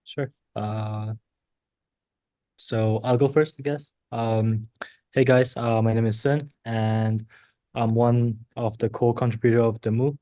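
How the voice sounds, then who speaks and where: A man's voice: medium pitch, steady tone, takes it slowly, warm tone, no room sound. A man from mainland China introducing himself casually on a podcast, with lots of fillers. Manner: loose, filler-heavy, informal. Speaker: core contributor.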